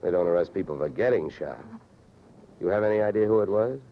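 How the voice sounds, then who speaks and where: A man's voice: low-pitched, expressive, brisk, phone-quality sound, slight background noise. An American man playing a wisecracking insurance investigator in old radio detective drama style, clipped, sardonic and pushy. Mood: firm, urgent. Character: hardboiled, wisecracking male freelance insurance investigator. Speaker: lead actor and first-person narrator. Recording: vintage radio recording, music behind.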